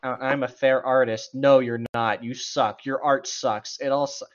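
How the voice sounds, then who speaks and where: A man's voice: mid-range voice, fairly even intonation, measured pace, highs cut off. A man co-hosting a casual read-aloud of a story, with sarcastic, mocking and profane commentary. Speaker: co-host reading a story aloud with running commentary.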